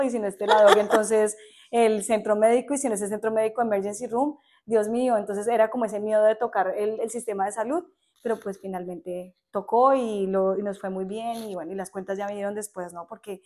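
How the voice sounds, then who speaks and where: A young Colombian woman in casual conversation, with a Colombian accent. She tells her stories in a lively, chatty way and laughs often. Her voice sits at a middle pitch. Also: a little fast. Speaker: guest teacher. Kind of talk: casual podcast interview.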